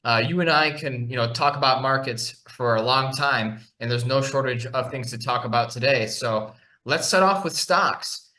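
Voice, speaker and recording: A man hosts a market podcast in an easygoing, conversational way. His voice is bright and forceful, at a middle pitch. He fills his sentences with restarts and "you know.". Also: normal speed. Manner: conversational, many fillers and restarts. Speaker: podcast host and market analyst. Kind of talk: casual market discussion over a shared screen.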